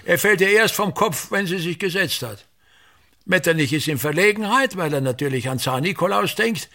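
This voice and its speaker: Bossy old theatre director, radio play, husky forceful voice, pompous and swinging all over the place. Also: male voice, sits high, expressive, normal speed, exaggerated voice. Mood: emphatic, lecturing. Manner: declamatory, pompous, ranting in fits and starts. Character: obsessive, domineering old theatre director, lecturing his son.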